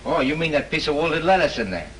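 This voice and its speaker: A slow-witted studio cop drawls folksy slang, his pitch bouncing up and down. He sounds mid-toned and easygoing. A faint hiss of an old film track sits behind him. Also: animated, fairly bright tone. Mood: dim. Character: dim, good-natured male studio cop sidekick. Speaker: studio police chief. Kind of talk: 1930s film acting.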